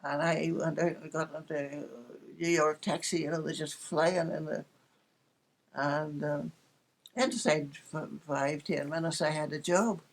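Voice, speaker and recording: A woman's voice: low voice, fairly even intonation, a little slow, low-quality recording. An elderly woman in her eighties with a Northern Irish accent, casually reminiscing about her life in a chatty, anecdotal way with wry humour. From Northern Ireland. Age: 80s. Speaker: interviewee recalling her life.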